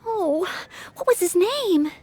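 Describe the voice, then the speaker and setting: An actress playing an earnest, studious, polite young maid-knight in a video game, speaking with careful courtesy. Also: female voice, very high voice, animated, slow, a little mellow, exaggerated voice. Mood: thoughtful. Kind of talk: video game character acting.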